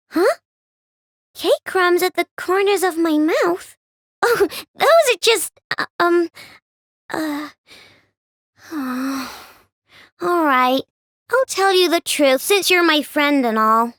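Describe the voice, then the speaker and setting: Game voice: a bubbly little girl inventor, very high, bright, lively, unhurried, dry studio sound. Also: no room sound, heavily acted. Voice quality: bubbly, childlike and bouncy. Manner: playful, wheedling, with gasps and giggles. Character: cheerful young girl inventor, sugar-loving and playful. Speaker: game character voice. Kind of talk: game voice lines.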